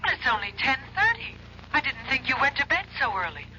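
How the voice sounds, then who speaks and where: Worried girlfriend on a phone line, bright voice, lively, old radio hiss. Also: high voice, fairly quick, exaggerated voice. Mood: anxious. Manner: anxious, pleading. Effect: telephone filter. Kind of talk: 1970s radio drama acting. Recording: dull old radio recording with faint hiss.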